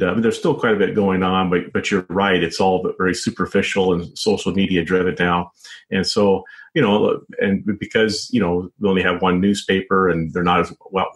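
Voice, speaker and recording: A man with a low voice talks as a guest author in an interview. He explains things at length in a conversational way, stumbling a little and padding his sentences with "you know" and "sort of.". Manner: hesitant, wordy, frequent "you know" and "sort of". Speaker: guest, investigative journalist and author.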